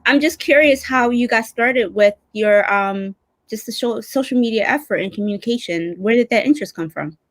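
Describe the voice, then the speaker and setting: A woman's voice: a little high, moderate pace, no echo. A woman hosting an online show in a casual, chatty way, speaking effusively and with enthusiasm.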